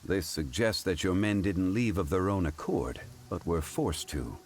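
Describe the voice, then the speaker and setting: A deep, stately scholar. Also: male voice, lively intonation, a little hiss or hum. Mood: explaining. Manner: formal, measured, deliberate. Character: calm, learned, dignified man. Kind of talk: video game voice acting.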